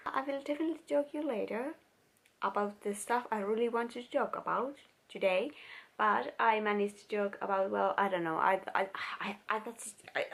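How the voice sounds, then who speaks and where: A woman's voice: medium pitch, creaky, a bit bright. A young woman with a Finnish accent, speaking English as a second language in a casual personal vlog, searching for words and restarting sentences. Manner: laughs now and then while talking. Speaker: vlogger.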